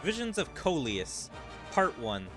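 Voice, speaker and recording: A man's voice: a little high, big pitch swings, slow pace. A man giving casual let's-play commentary, musing and thinking aloud as he goes. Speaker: gaming video creator. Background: game music.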